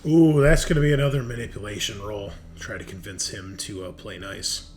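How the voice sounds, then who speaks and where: A man's voice: fairly low pitch, fairly even intonation, a little fast. Casual male game master, noisy recording. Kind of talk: casual narrating of a tabletop roleplaying game. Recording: noticeable background noise.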